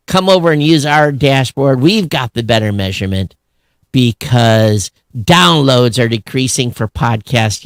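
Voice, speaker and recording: A man's voice: medium pitch, clear, resonant tone, dry recording. Blunt man co-hosting, dry close sound. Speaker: podcast co-host. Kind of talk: casual opinionated tech news chat.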